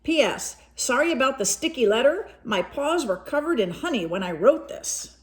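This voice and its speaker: A woman voicing a kindly, generous male sun bear in a children's storybook, reading in a friendly, expressive way. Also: high-pitched, normal speed, voice pushed up. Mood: cheerful, generous.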